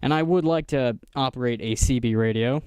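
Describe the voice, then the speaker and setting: An American man giving a casual, unscripted show-and-tell narration in a halting, explanatory manner. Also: medium pitch, a little mellow, clean tone, no room sound. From United States. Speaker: vlogger showing vintage radio gear.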